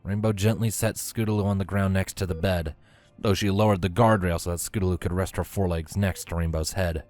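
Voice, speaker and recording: A man's voice: sits low, steady tone, brisk, darkish tone. A man reading a scripted story as its narrator. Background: music.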